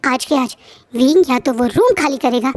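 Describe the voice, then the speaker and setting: A woman voicing a haughty, scheming wealthy woman who shouts accusations in a fit of fury. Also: sits high, expressive, moderate pace. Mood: furious.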